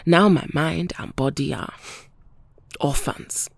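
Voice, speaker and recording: A woman's voice: low-pitched, takes it slowly, gravelly, no echo, full character voice. A woman playing a proud, weary, dignified superheroine with a solemn, formal manner in an audio drama. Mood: mournful.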